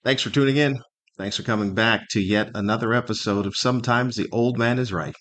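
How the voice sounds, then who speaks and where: A man's voice: mid-range voice, fairly quick, a bit bright. Wry, rambling man hosting a podcast. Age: middle-aged or older. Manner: wry, rambling, conversational, with rhetorical questions. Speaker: podcast host. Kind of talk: casual solo podcast monologue with humor.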